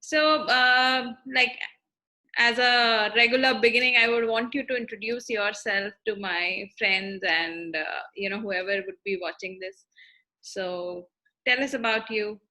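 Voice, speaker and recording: A woman's voice: a little high, steady tone, measured pace, pushed and bright. A woman hosting an interview series, introducing her guest politely and a little hesitantly.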